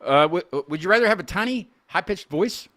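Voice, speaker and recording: A man's voice: sits high, expressive, a little fast, pushed and bright. A man hosting a casual group chat, rambling with stammered restarts and frequent laughs. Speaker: podcast host.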